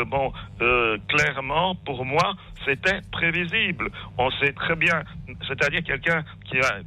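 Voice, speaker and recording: Male phone-in caller, strikingly sharp bright tone, halting and rambling. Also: medium pitch, poor audio. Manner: hesitant, rambling, repeats words. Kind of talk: spontaneous radio call-in remarks. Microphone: telephone call.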